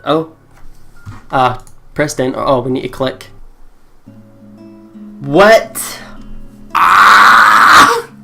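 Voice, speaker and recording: Expressive young woman hosting a game playthrough. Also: very deep voice, very slow, pauses often, faint music behind. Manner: expressive, sweary, exclaiming. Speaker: let's play host. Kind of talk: game commentary, casual chat.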